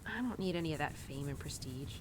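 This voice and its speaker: A woman's voice: deep voice, lively intonation, fairly quick, background noise. A woman playing a young, animal-loving cowgirl who confides tenderly in her horse in an intimate, casual, rambling audio roleplay. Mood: frustrated, confiding. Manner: casual, rambling, sighing and giggly.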